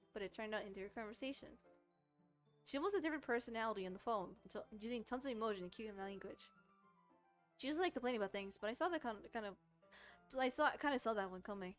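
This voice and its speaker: A woman streamer reading a visual novel aloud and commenting on it, haltingly, often repeating and rereading phrases. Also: a little high, expressive. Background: soft music.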